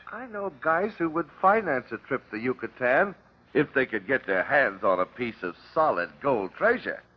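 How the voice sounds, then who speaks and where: Husky, cocky radio hustler. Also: male voice, medium pitch, lively intonation, normal speed. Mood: persuasive. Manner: slangy, cocky, easygoing. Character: brash, breezy small-time male hustler, cocky and familiar. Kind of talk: old-time radio crime drama acting. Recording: muffled old radio recording.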